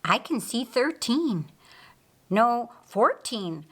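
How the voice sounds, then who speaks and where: A precise, observant older uncle in an audiobook tale, played by a woman reader. He points things out deliberately and carefully. The voice is raised in pitch and rises and falls freely. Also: sing-song, breathy edge, exaggerated voice. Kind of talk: audiobook character dialogue.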